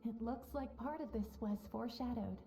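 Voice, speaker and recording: A wise female mentor from a video game. Her voice is fairly high and very mellow, with solemn, gentle, measured delivery. Light music sits behind it. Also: gravelly, low-quality recording. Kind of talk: video game character acting.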